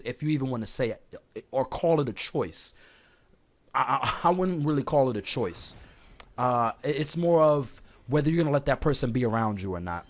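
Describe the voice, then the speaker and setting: A man with a slightly hoarse voice from being sick, talking casually and directly to his listeners as an online host giving rambling advice. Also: mid-range voice. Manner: informal, conversational, addresses listeners directly. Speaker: host of an online talk series. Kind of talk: casual rambling advice chat over gameplay. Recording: clear background noise, game sounds. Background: video game playing in the background.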